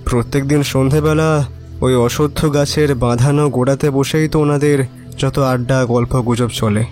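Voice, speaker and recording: A man's voice: medium pitch, steady tone, moderate pace, darkish tone, soft background music, close to an everyday voice. An actor playing a cynical, resigned young neighbourhood man, talking colloquially and wryly in an audio drama. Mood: resigned, gossipy. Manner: colloquial, emphatic repetition, wry. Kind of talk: Bengali audio drama acting.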